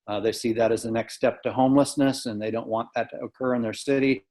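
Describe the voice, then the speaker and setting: A man's voice: mid-range voice, steady tone, fast, darkish tone. An American man giving an informal, matter-of-fact report over an online conference call. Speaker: community task force member. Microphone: video call microphone.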